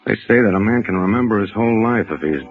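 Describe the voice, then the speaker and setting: An actor playing a desperate, haunted man who speaks in a halting, intense way in a 1940s radio drama. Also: on the low side, lively intonation, close to an everyday voice. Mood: brooding, confessional. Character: desperate, haunted man, son of poor immigrants. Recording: muffled vintage radio broadcast, music behind.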